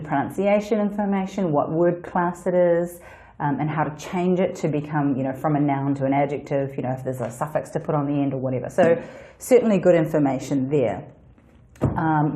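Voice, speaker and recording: A woman's voice: low-pitched, dark tone. A woman hosting a solo educational podcast, explaining things in a chatty way with fillers and restarts. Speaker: podcast host.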